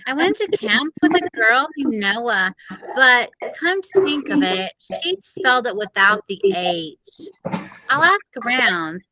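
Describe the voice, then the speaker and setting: A woman's voice: a little high, big pitch swings, measured pace, sharp tone, no echo, heavily acted, low-quality recording. A woman voicing a peppy, positive teenage girl who is head cheerleader, in a storybook reading. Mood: conversational. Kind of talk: storybook character dialogue.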